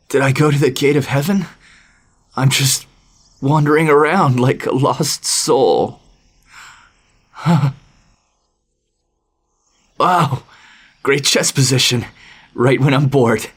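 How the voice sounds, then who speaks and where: A man playing a sorrowful, earnest father, a fallen god, in an animated bedtime story. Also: mid-range voice, slow pace, long pauses, breathy edge. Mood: listless.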